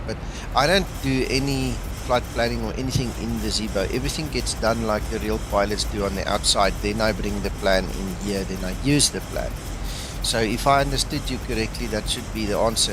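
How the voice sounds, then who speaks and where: Male streamer, non-native English speaker, low voice, explains patiently, noisy capture. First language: not English. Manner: explains step by step, replies to chat. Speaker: flight sim streamer. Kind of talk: casual live-stream chat.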